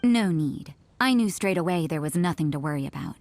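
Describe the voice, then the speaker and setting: A woman voicing a cool, stern young nun in a video game, curt, deadpan and composed. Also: fairly low pitch, brisk, slight background noise. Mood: stern, dismissive. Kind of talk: English video game voice acting.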